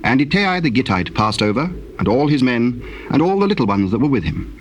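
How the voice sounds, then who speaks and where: Male narrator, British, solemn and measured, dramatized Bible reading, mid pitch, noisy old tape. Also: very animated. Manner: formal, measured storytelling. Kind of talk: solemn scripted scripture narration.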